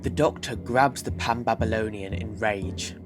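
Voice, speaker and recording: A man's voice: on the low side, measured pace. A man narrating a fan audio drama from a script in the third person. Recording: music underneath.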